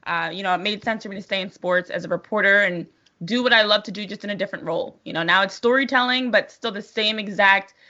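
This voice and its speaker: A woman's voice: mid-range voice, fast talker, bright, forceful tone, dull audio. An American woman, a sports broadcaster being interviewed, telling her story in an articulate, positive, conversational way. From New Jersey, United States.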